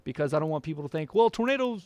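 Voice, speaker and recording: A man's voice: a little high, expressive, very fast, a little mellow, no room sound. A man from Oklahoma, a TV meteorologist, chatting upbeat and casually through a viewer Q&A, laughing now and then. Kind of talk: casual Q&A monologue.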